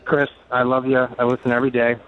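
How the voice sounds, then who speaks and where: A man's voice: mid-range voice, monotone, fast. A man calling in to a sports radio show over a muffled phone line, arguing insistently with the host. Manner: insistent, argumentative. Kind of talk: call-in debate.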